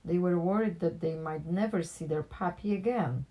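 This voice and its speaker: A woman reads a picture book aloud in an expressive storytelling style for children. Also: fairly low pitch, moderate pace, highs cut off. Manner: animated character dialogue with plain narration.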